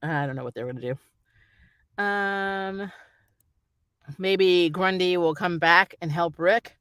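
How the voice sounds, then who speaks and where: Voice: medium pitch, takes it slowly, fairly bright tone, clear, resonant tone, no room sound, clean studio sound. A vlogger chatting off the cuff in a rambling, opinionated way, like a fan giving a review. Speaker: YouTube reviewer reading and answering viewer comments.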